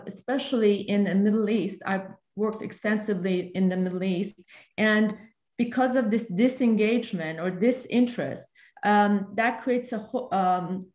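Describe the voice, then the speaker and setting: A woman's voice: medium pitch, darkish tone. A woman originally from Iran asks a long, earnest question on a video call, with frequent fillers. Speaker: seminar participant asking a question. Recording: echoey room on a video call.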